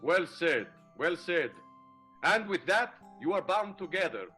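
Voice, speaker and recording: A man's voice: high-pitched, animated, unhurried, sharp tone, faint music behind, heavily acted. A man playing a pompous, courtly mail-order minister in an improvised radio play, speaking formally and wordily. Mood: pompous, genteel. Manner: formal, fussy, wordy.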